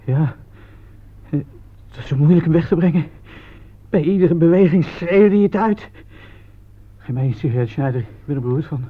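A man acts out a naive young soldier in a radio drama, shaken by the front. His voice sits fairly high and sounds husky. He speaks haltingly, in distress, on a dull old recording. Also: lightly acted. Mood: shaken, miserable. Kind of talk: radio play acting.

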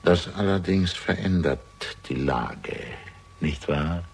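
A man's voice: deep voice, slow pace, creaky, breathy edge, heavily acted. An actor playing an arrogant, gloating older murderer and proud father in a theatrical German radio crime drama, sneering and grandiose. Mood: mocking, cool. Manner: theatrical, grandiose, sneering, swinging between murmur and outburst.

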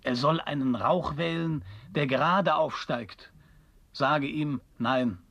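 An actor playing a stubborn, wordy seafarer in a German radio play, speaking in a dry, formal, pedantic manner. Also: male voice, a little high, slow, a bit bright, no room sound, full character voice. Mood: defiant. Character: stubborn, wordy male old seafarer wearing a borrowed head.

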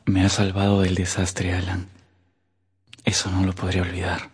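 An actor playing a desperate young gentleman in a radio drama, imploring in a hushed, husky voice. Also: low-pitched, completely flat delivery, normal speed. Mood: desperate, pleading. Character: desperate, pleading young gentleman, quietly manipulative.

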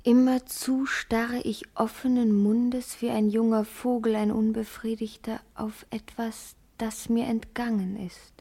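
An actress playing a wistful, dreamy, unfulfilled woman in a literary radio play, with hushed, deliberate, poetic delivery. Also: fairly high pitch, level tone, husky. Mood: yearning.

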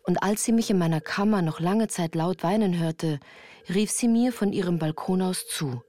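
A woman's voice: lowish voice, moderate pace, mellow, dry recording, close to an everyday voice. An actress as a woman recounting her childhood in composed, literary first-person narration for a radio drama. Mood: grave, reflective. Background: music.

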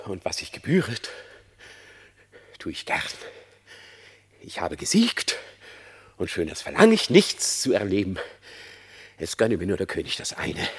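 A self-satisfied fox at court in a classic radio play. The voice is low and rough, with theatrical swoops and an unctuous, formal turn to every phrase. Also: male voice, heavily acted. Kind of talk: classic German radio play verse acting.

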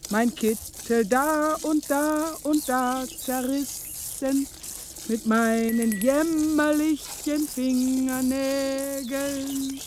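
An actress playing an ancient, forlorn woman in a radio drama, brooding in halting, drawn-out phrases. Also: high-pitched, darkish tone, slight background noise.

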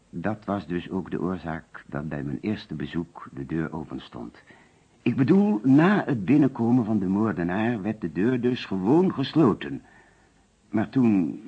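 Deep husky anxious suspect. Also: male voice, exaggerated voice. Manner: hesitant, explanatory, protesting when pressed. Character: male insurance agent, murder suspect, anxious and evasive. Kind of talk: old Dutch radio detective play acting. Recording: dull lo-fi old radio recording.

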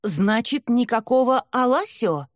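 A woman's voice: on the high side, animated, measured pace, dry recording, clean studio sound, pitched above the performer's own voice. A woman voicing a staid, easily deflated boyfriend, puzzled and hesitant, in an audiobook. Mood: disappointed. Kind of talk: audiobook character dialogue.

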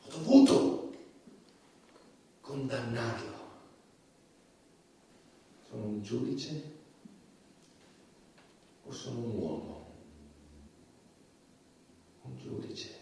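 A man's voice: mid-range voice, expressive, drawn-out words, vocal fry, pauses often, slight background noise, heavily acted. An actor playing an anguished, self-justifying male judge, defiant and guilt-ridden, in a declamatory theatrical monologue. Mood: bitter, brooding. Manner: declamatory, with swings from hushed to shouting. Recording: very echoey stage, distant microphone.

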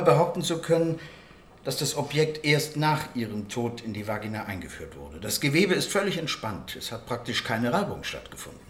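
A man's voice: medium pitch, lightly acted. Actor doing matter-of-fact guy coroner in a radio play, explains everything clinically, echoey room. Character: precise, matter-of-fact male forensic doctor. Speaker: forensic doctor. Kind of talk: German radio crime drama acting. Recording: roomy, echoing studio scene.

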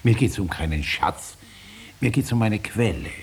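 An actor playing a simple farmer, defensive and slyly self-interested, talking plainly and evasively in a 1950s German radio play. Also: male voice, on the low side, expressive, moderate pace, vocal fry, darkish tone. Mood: sheepish, defensive. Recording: hissy 1950s radio recording.

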